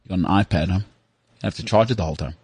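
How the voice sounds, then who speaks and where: A man's voice: deep voice, darkish tone, slightly rough, no echo. A man hosting a podcast, teasing and quizzing his co-host in casual chat. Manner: teasing, quizzing, quick asides.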